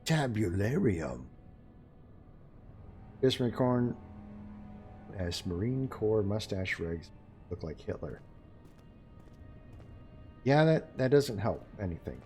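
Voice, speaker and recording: Low-voiced chatty male streamer. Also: very animated, slow, long pauses, a little mellow, soft background music. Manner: chatty, joking, talks to chat while playing. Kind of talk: casual live gameplay commentary.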